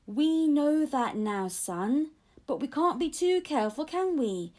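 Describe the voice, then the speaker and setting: A woman voicing a firm but kindly male London police officer in a children's audiobook, measured and admonishing. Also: sits high, animated, warm tone, voice pushed up. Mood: gentler.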